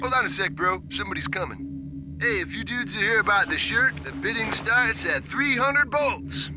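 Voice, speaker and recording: An actor voicing a laid-back, dim young surfer dude with drawling slacker slang. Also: male voice, high-pitched, expressive, normal speed. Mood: boastful, chilled. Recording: music behind the voice.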